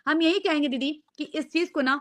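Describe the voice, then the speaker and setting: A woman's voice: high voice, brisk. A young woman speaking in an earnest, insistent, repetitive way, as a host pleading with someone in a casual live chat.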